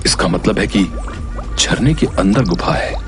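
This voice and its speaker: A man voicing a brave, determined young hero in an animated fairy tale, speaking in an earnest, dramatic way. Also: on the low side, a little slow, music in the background. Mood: pondering.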